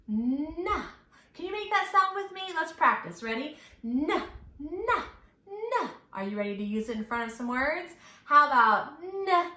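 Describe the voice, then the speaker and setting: Lady doing storytime for little kids, highish voice, playful and encouraging, kinda echoey room. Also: pushed and bright. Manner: encouraging, playful, exaggerated enunciation for young children. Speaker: children's storytime host. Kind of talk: children's lesson with songs and questions.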